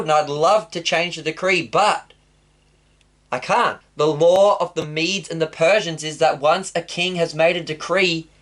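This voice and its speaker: A man's voice: a little high, a bit bright, lightly acted. A man voicing a hot-tempered ancient king who bursts out in outrage. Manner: exclaiming, outraged outbursts.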